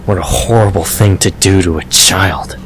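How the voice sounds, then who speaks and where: A man's voice: low-pitched, moderate pace, a little hiss or hum, close to an everyday voice. A man playing a stern, tense vampire with terse delivery in an audio drama. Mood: appalled. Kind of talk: fan fiction audio drama dialogue.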